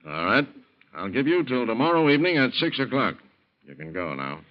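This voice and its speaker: An American actor in an old-time radio drama, playing a coldly menacing blackmailer who gives domineering orders. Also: male voice, mid-range voice, very animated, lo-fi sound, natural voice. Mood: menacing, commanding. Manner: domineering, deliberate threats.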